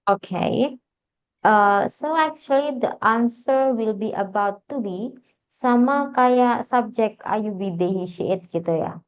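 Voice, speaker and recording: A woman's voice: on the high side, measured pace. A woman with an Indonesian accent teaching English in a casual online class, chatty and switching between languages. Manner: casual, chatty, laughs often. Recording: dull lo-fi online call audio.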